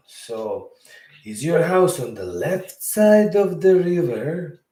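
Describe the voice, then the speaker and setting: A man's voice: medium pitch, a little mellow, reverberant, recorded from a distance, low-quality recording. A man from Bosnia whose first language is Serbo-Croatian, telling a story at home in a rambling, casual way, correcting himself and chuckling as he goes. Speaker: podcast host. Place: room at home.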